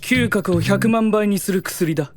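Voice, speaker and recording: A man's voice: high voice, a little slow, over music. A man playing a haughty, eccentric young genius inventor, pompous and theatrical, in a fantasy audio drama. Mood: smug.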